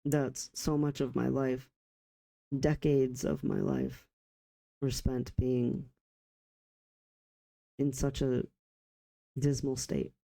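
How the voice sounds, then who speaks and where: A man of about forty talking reflectively in a personal vlog, halting in short phrases with pauses. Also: mid-range voice, steady tone, vocal fry, mellow, poor audio. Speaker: vlogger.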